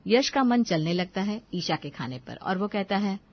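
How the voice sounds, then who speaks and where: A woman's voice: medium pitch, very animated, normal speed, dull audio. A woman narrator reading a scripted family story.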